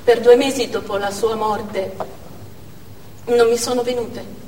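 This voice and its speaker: A woman's voice: on the high side, steady tone, unhurried, warm tone, close to an everyday voice. Echoey, distant grieving Chechen widow played by a woman. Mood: numb grief. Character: grieving young Chechen widow, hollowed out by war. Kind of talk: theatrical stage monologue. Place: theatre stage, distant microphone. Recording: echoey theatre hall from afar, noisy.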